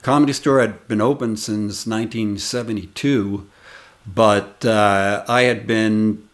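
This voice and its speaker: A man's voice: lowish voice, fairly even intonation. A man in his sixties, a comedian hosting his channel and casually telling a rambling, reminiscing story of his early days. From United States. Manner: rambling, reminiscing, unhurried anecdotes.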